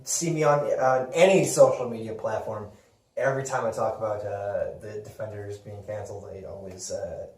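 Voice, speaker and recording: A man's voice: lowish voice, fairly even intonation, normal speed, a little mellow, slight background noise. A man hosting a podcast, chatting casually about games and films in an enthusiastic, rambling, informal way. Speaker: podcast host. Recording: roomy echo, distant from microphone.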